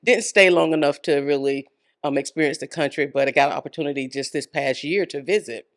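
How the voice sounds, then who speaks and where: A woman, telling her life story as a podcast guest, earnest and encouraging, with frequent ums and soft laughs. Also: sits low, brisk. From Florida, United States. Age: 50s. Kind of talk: casual interview storytelling.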